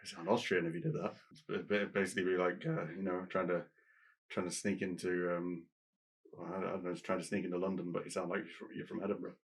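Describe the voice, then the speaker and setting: A man's voice: low-pitched, flat delivery, fast, breathy edge, poor audio. A man co-hosting a podcast, chatting casually and thinking aloud in a hesitant, sceptical way. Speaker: podcast co-host. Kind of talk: casual chat, reacting and questioning.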